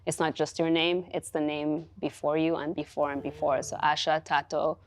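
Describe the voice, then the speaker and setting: A woman from Kenya telling a personal story on a panel, in a gentle storytelling manner. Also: deep voice, little pitch movement, normal speed, no room sound, clean studio sound. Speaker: panelist, business founder.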